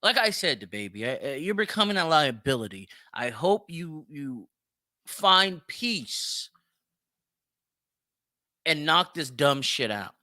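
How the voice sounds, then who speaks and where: A man's voice: on the high side, big pitch swings, slow, pauses often, pushed and bright, dry recording, studio quality. A man hosting an opinionated solo commentary show, speaking bluntly and emphatically, swearing freely and repeating phrases for stress. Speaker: podcast host.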